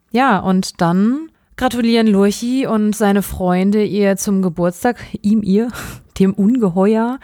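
A woman's voice: medium pitch, darkish tone, no room sound, clean studio sound. A woman co-hosting a podcast, chatting and explaining as she recaps stories in a casual way. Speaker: podcast co-host. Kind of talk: casual chat, recapping an audio drama.

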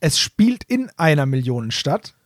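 A man's voice: a little high, big pitch swings, moderate pace, no echo, clean studio sound. A man, co-hosting a podcast and explaining things in casual chat. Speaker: podcast co-host.

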